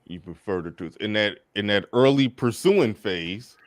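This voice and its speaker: A man hosting a casual panel discussion, relaxed and repeating words as he thinks aloud. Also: lowish voice, normal speed, dry recording. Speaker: host. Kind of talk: casual panel talk show.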